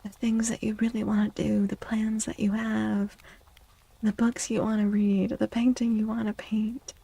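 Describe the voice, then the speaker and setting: A soft-spoken woman livestreaming an ASMR tarot reading, gentle and soothing, with a breathy voice that often slips into a whisper. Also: medium pitch, little pitch movement. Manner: gentle, soothing, unhurried reassurance.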